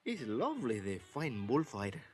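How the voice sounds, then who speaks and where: An actor playing a polite, eager manservant with a Latin accent and halting English in an old radio drama. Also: male voice, mid-range voice, huge pitch swings. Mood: eager, admiring. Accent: Mexican or Spanish-speaking Latin. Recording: vintage radio broadcast, music behind.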